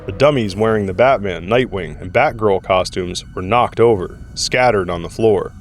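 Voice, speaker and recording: A man's voice: on the low side, moderate pace, slight background noise. A man serving as narrator, reading scripted dramatic story narration.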